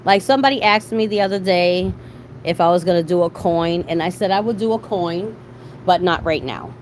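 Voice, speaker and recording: A middle-aged woman with a fairly low voice chats casually to her live viewers. She talks in a salty, slangy way and swears freely. There is clear background noise in the recording. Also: moderate pace. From Boston, USA. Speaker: livestream host.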